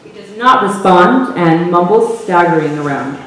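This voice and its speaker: A woman's voice: fairly low pitch, expressive, unhurried. A woman hosting and narrating a staged reading with scripted stage narration. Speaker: narrator and audience host. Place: theater hall, recorded from the audience. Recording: roomy, distant stage recording with faint noise.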